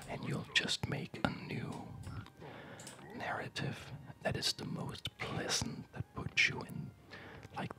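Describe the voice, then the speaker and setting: A man whispering in a hesitant, halting, reflective way, as in a rambling ASMR video. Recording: music behind the whisper. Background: music.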